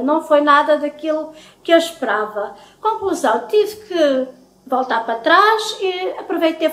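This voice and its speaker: A woman's voice: high voice, a bit bright. A middle-aged woman with a European Portuguese accent chatting casually and affectionately to her viewers as a home vlogger. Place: home kitchen, indoors. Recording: echoey domestic kitchen.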